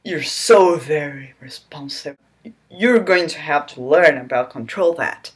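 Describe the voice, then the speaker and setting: A woman putting on a hushed seductive man. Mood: murmuring. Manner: low hushed murmur, slow and breathy. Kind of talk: mock-dramatic reading of a romance novel.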